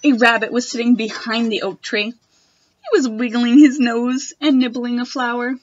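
A young woman reading a picture book aloud to children in a gentle, expressive manner. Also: fairly high pitch, normal speed, dull audio. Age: young adult. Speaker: storytime reader. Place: wood-panelled room at home.

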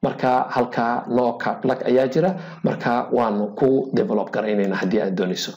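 A man teaching a hotel management program over a screen recording, speaking haltingly with many filler sounds and English technical terms mixed in. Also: medium pitch, fairly even intonation, darkish tone, lo-fi sound. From Somalia. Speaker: software instructor. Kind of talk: screen-recorded software tutorial.

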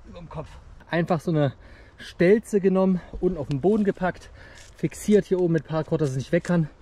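Male outdoor vlogger, 30s, fairly high voice, casual matey how-to delivery to camera, forest recording with clear background noise. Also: mellow. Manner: colloquial, chummy address to viewers. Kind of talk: casual bushcraft how-to vlog, talking to camera.

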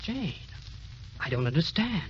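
A man's voice: fairly high pitch, sing-song, a little slow, fairly bright tone. An actor playing an earnest, meek, easily flustered young man in old-time radio drama style. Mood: flustered, obedient. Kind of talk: 1930s-40s radio acting. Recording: muffled, noisy old radio recording.

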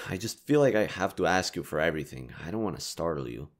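Man playing a kind young stranger, low voice, lively intonation, reassuring, slightly hesitant, intimate audio roleplay. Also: fairly quick. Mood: considerate, explaining. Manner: gentle, considerate, hesitant. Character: kind, reassuring young male stranger helping a distressed girl.

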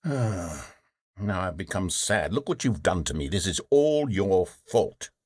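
A man's voice: low voice, very animated, unhurried, darkish tone, slightly rough, no echo. A man narrating a video game in a dry, sarcastic, condescending way.

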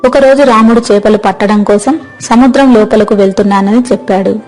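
A woman's voice: mid-range voice, brisk, darkish tone, soft background music. A woman narrating a scripted moral story as its storyteller.

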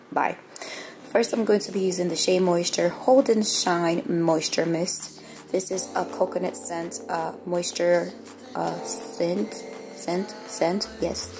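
A young woman with a raspy voice, a bit under the weather, chatting casually to viewers about her routine in a small bathroom. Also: lowish voice. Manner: chatty, self-mocking, talks to viewers directly. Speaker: beauty vlogger. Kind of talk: casual chat. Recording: music playing clearly underneath.

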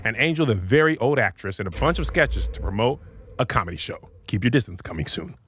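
A man delivers a dramatic movie-trailer narration. His pitch is mid-range but swings widely. It is recorded on a studio mic over audible background noise. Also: animated, fast talker. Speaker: comedian contestant. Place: podcast studio. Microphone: studio microphone with headset.

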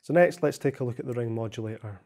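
A young man narrating a software tutorial in a measured, explanatory way. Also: mid-range voice. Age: young adult. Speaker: software demonstrator.

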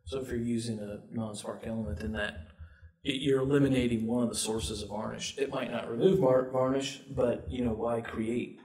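A man's voice: medium pitch, steady tone, normal speed, a little mellow. A middle-aged man talking casually about technical matters in a conference-room discussion. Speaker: filtration subject matter expert. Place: small conference room, indoors. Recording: roomy echo, distant from microphone.

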